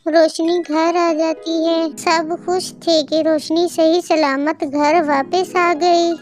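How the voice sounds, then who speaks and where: A woman's voice: very high voice, flat delivery, slow pace. A woman narrating a cartoon story in a scripted storybook style, with music behind her.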